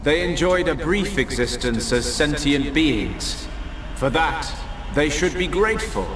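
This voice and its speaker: A man voicing an arrogant creator god in a game cutscene, pompous and condescending in measured speech. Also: on the high side, expressive, background noise. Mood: lofty, contemptuous. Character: arrogant godlike creator, male, serene and contemptuous. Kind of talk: dramatic game cutscene acting. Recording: echoing voice under loud score.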